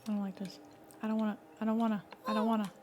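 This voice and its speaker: A woman streamer giving casual, expressive reaction commentary on a video game, sometimes in hushed asides. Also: mid-range voice, very fast. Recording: clearly noisy, game sound behind.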